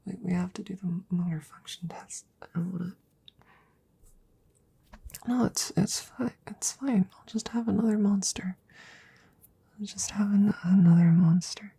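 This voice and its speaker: Woman acting as anxious devoted scientist, sci-fi audio roleplay, mellow husky voice, halting stammers, soft whispers, close mic. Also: medium pitch, heavily acted. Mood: tender, tired. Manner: halting nervous rambling with stammers, often dropping into whispers. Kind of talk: intimate ASMR audio roleplay. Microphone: close microphone.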